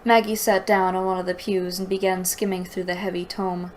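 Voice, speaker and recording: A woman's voice: on the low side, level tone, brisk, a little hiss or hum. A woman reading a story aloud and breaking in with sarcastic, exasperated commentary. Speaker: host reading and critiquing a fantasy novel aloud.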